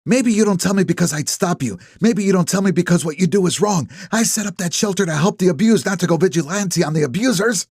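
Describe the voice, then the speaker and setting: A man voicing an upset middle-aged woman who scolds a friend in a tense, breathy voice. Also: sits high, expressive, brisk, few pauses, no room sound, clean studio sound, pitched above the performer's own voice. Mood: angry.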